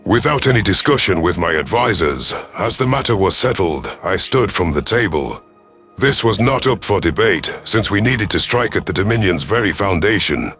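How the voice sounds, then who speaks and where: Low-voiced male sci-fi story narrator. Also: lively intonation, normal speed, fairly bright tone. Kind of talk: dramatic scripted narration in first person. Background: faint music.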